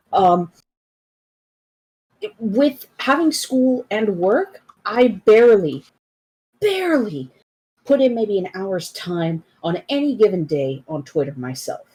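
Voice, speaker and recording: Breathy, lively woman in her thirties. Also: medium pitch, poor audio. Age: 30s. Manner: animated and blunt, talks over others. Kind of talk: casual chat.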